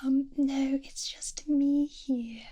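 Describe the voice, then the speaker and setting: A woman playing a doting, possessive and wry mad scientist in a whispered ASMR fantasy roleplay, with a soft, breathy voice and a theatrical, coaxing manner full of endearments. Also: high voice, fairly even intonation, takes it slowly. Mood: polite, evasive, dismissive.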